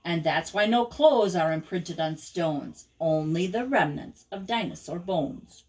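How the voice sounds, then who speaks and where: An older woman reading children's rhyming verse aloud as a grandmother would at storytime, with a measured, rhythmic delivery. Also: fairly low pitch, a little mellow.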